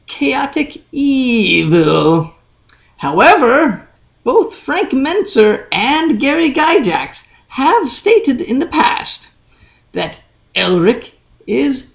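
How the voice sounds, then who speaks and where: A woman narrating a fantasy story in a measured, dramatic storybook style with deliberate, drawn-out phrasing. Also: on the high side, gravelly. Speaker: audiobook reader, channel host. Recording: echoey, roomy sound.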